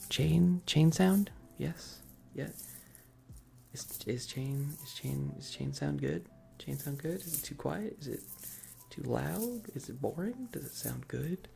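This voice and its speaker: Low, creaky-voiced woman streamer. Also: warm tone. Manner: playful, teasing, repeats questions to chat. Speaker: ASMR livestreamer. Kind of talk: ASMR livestream, casual chat with viewers.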